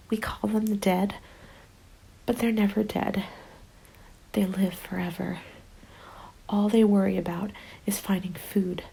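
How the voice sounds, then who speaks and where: A woman playing a haunted, determined zombie apocalypse survivor in an ASMR roleplay, speaking in a soft, breathy half-whisper with an intimate, deliberate delivery. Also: medium pitch, exaggerated voice, low-quality recording. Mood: tender, unsettled. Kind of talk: ASMR roleplay, dramatic monologue. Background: background music.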